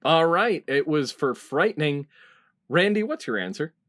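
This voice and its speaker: A man's voice: on the high side, sing-song, a little slow, fairly bright tone. A middle-aged man hosting a comedy panel quiz over a video call. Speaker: quiz show host.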